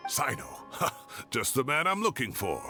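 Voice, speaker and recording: A man's voice: medium pitch, sing-song, normal speed, natural voice. An actor playing a jovial, absent-minded older male professor in a video game, chatty and exclamatory. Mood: delighted. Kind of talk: video game character dialogue. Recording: background music under the voice.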